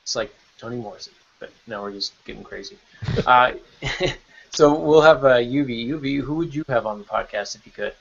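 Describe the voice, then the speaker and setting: A man's voice: medium pitch, moderate pace, vocal fry, fairly bright tone. A man hosting a casual podcast panel chat in a joking, rambling way over an online call. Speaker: podcast host. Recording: dull lo-fi online call audio.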